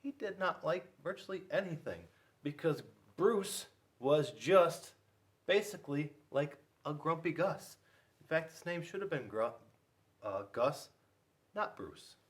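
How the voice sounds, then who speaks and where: A man's voice: a little high, lively intonation, unhurried. A man reading a picture book aloud to children as a school officer, playful and speaking directly to his listeners. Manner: playful, addressing young listeners with questions. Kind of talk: animated children's read-aloud.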